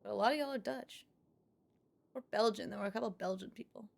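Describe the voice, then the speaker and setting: A woman's voice: mid-range voice, fast, gravelly, a little mellow. A woman livestreamer chatting casually with her viewers, musing aloud and reading out their comments. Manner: chatty, musing, reads out chat.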